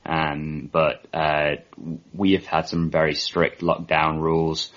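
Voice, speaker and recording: Male podcast guest, low-pitched voice, casual interview chat, dull recording. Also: level tone, slow, a bit bright. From Manchester, England.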